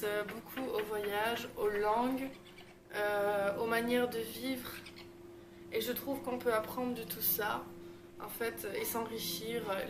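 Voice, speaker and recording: A young woman in her twenties chatting casually in a vlog with lots of fillers. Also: fairly high pitch. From Belgium. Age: 20s. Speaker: YouTuber. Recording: roomy echo, distant mic, noisy.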